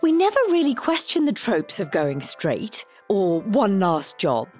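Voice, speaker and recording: A woman's voice: medium pitch, sing-song, measured pace, over music. A woman playing a wry, easily exasperated crime writer in an audio drama, often muttering sardonically. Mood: musing.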